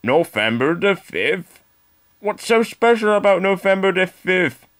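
A man's voice: sits high, sing-song, measured pace, fairly bright tone, voice pushed up, heavily acted. A man voicing a curious, eager male steam engine from a children's storybook. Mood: puzzled curiosity.

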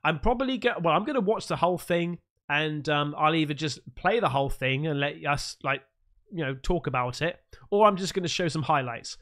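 A man's voice: fairly high pitch, fairly bright tone. A man in his thirties casually reacting to clips on his stream, thinking aloud with restarts, recorded close on a studio mic in a small home room. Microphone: close studio mic with headphones.

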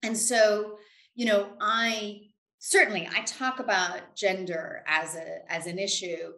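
A woman's voice: mid-range voice, steady tone, takes it slowly, fairly bright tone. A middle-aged American woman giving emphatic, self-assured, conversational answers as an interview guest on a video call. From United States. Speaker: interview guest, finance expert. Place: indoors in a home room. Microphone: video call, computer at desk distance. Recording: roomy, echoing video call.

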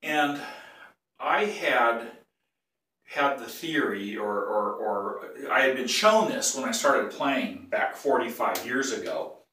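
An older man with a cold teaches bagpipe technique in a casual, rambling way. He keeps clearing his throat and stops to correct himself. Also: medium pitch, animated, slow pace, sharp tone. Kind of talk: casual tutorial. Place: small tiled bathroom. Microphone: phone or camera some feet away. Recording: echoey bathroom, voice from a distance.

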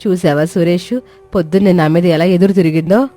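A woman playing a scheming middle-aged mother-in-law in an animated moral story, scolding, sarcastic and self-pitying. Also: on the low side, brisk, soft background music, natural voice. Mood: angry, aggrieved.

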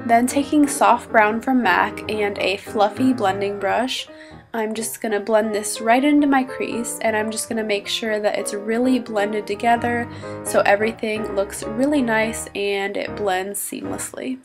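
A woman's voice: on the high side, fairly even intonation, measured pace, over music. A young woman with a stuffy cold, chatting upbeat through a makeup tutorial in her small bedroom and naming each product as she goes. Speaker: beauty vlogger.

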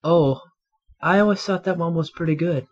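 A man's voice: a little high, moderate pace, lo-fi sound, lightly acted. A man voicing a vain, lecherous artist, smarmy and awkward, in a comedic anime parody dub. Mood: oily, flirtatious.

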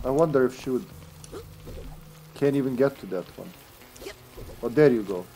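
A man's voice: medium pitch, dark tone. A man in his thirties chatting casually on a headset while streaming a video game, laughing often and trailing off mid-sentence. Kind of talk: casual gameplay commentary. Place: indoor room at home.

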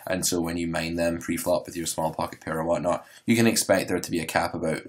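A man, hosting a poker podcast and explaining strategy in a relaxed, rambling way with many fillers. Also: sits low, fast. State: hoarse. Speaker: poker coach and podcast host. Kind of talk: solo instructional podcast.

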